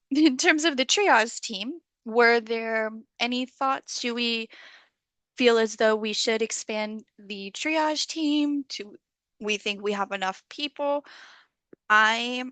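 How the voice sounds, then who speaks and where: A woman hosting an online community call, friendly and full of okays, slightly hoarse as she loses her voice. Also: a little high, takes it slowly, a bit bright, dry recording. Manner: friendly facilitator, many okays. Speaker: meeting host. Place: video call.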